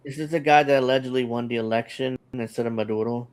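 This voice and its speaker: A man's voice: mid-range voice, steady tone, fast talker, clear, resonant tone. A man hosting a casual panel discussion, speaking loosely with fillers and restarts. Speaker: show host.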